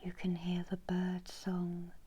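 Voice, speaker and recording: A middle-aged woman whispering a guided meditation in ASMR style, breathy and hushed, with long soothing pauses. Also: on the low side, completely flat delivery. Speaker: meditation guide and Reiki healer. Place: small indoor room.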